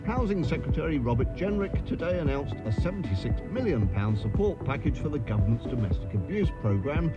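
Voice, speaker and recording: Actor as pompous radio host, loud music. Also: male voice, medium pitch, very animated, brisk, hardly any pauses, lightly acted. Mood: pompous, judgmental. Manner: pompous, emphatic, rhetorical. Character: opinionated, pointed male radio show host. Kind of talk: radio news commentary within a drama. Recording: dull radio sound under loud music.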